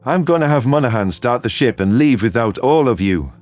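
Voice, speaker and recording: An earnest, exasperated soldier acted by a man with a mid-pitched, lively voice. His retorts are dry and defensive, in a science fiction audio drama with soft music underneath. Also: fairly quick, natural voice. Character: earnest, easily exasperated human male soldier.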